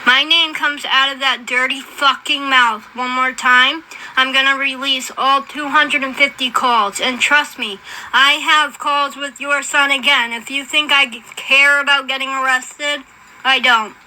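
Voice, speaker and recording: Sharp, high-voiced woman ranting, fed up. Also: steady tone, a little slow, slight background noise, poor audio. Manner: profane, fed up, confrontational. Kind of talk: angry off-the-cuff livestream rant.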